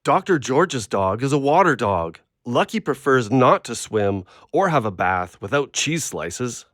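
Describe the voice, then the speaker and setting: A blunt, literal-minded dog owner played by a man in an audio play. The delivery is abrupt and guarded yet swings widely in pitch, in a dry, close recording. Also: sing-song, measured pace, fairly bright tone, no room sound. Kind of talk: audio drama acting.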